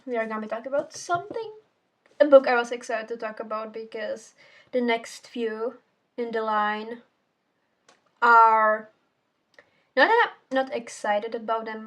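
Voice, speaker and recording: A teenage girl whose first language is Czech, casually reviewing a book in a rambling, self-correcting way with casual swearing. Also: a little high. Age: teens. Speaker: book vlogger.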